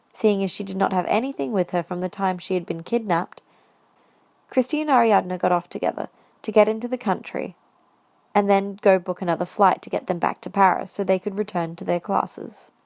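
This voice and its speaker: A woman's voice: medium pitch, gravelly, a little mellow, no room sound. A woman reading scripted story narration as the narrator of an audio drama.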